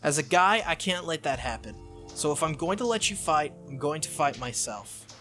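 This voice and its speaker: A man voicing a stubborn, earnest young male hero in a visual novel, protective and halting in his speech. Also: fairly high pitch, animated, sharp tone, soft background music. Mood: hesitant, earnest.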